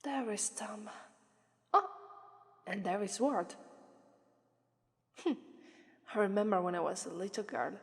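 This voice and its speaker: A woman's voice: mid-range voice, animated, a little slow, gravelly, pauses often, slightly rough, dry recording, clean studio sound, full character voice. A woman playing a shy demon princess bride, Italian accent. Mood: wistful. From Italy. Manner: gentle and soft-spoken, often hushed to a whisper, with nervous hesitant restarts. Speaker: voice actress. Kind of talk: ASMR roleplay.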